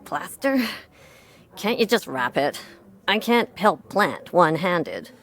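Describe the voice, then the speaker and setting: A woman's voice: lowish voice, big pitch swings, slow pace, a bit bright, heavily acted. An actress playing a blunt, salty small-town woman with a folksy, wry manner in an audio drama. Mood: grumbling.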